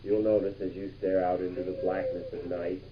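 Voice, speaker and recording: A brisk, dramatic rocket commander played by a man with a low voice, announcing in mock-official style for a homemade radio play. The 1960s home tape is muffled, with plenty of noise over it. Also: little pitch movement, natural voice. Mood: solemn narrating. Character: brisk male astronaut commander running a rocket launch. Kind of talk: improvised pretend radio play.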